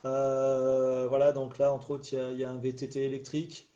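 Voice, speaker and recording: Hesitant, mellow-voiced man hosting a live. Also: mid-range voice, monotone, brisk, dull audio. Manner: rambling, hesitant, many fillers and restarts. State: slightly stuffy after a cold. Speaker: live stream host. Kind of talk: casual solo live chat. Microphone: phone or webcam on a live stream.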